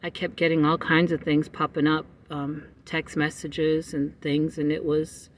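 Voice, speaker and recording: A middle-aged man talks from a parked car in a loose, wandering way, pausing often. His voice sits a little high for a man. The phone mic is a bit distant, with faint ambient noise behind him. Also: monotone. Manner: chatty, meandering, frequent um pauses. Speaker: vlogger. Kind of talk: casual rambling chat to viewers. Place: parked car in a parking lot.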